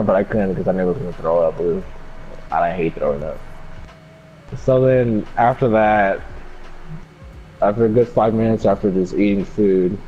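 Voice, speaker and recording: Young adult man, mellow tone, slangy casual storytelling, dull noisy mic. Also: fairly low pitch. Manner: laid-back, slangy, sprinkled with swearing. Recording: dull lo-fi mic with background noise.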